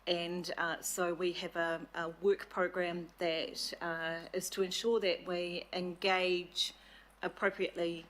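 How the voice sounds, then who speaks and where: Low, flat-voiced New Zealand woman. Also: slow, poor audio. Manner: hesitant, many fillers. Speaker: commission deputy chair. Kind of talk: formal committee address.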